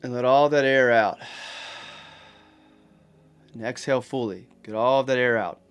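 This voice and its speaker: A man with a mid-pitched voice leads a guided meditation. He gives gentle instructions in plain, encouraging words and leaves unhurried pauses between phrases. Soft guitar music plays faintly behind him. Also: fairly even intonation, smooth, dull audio.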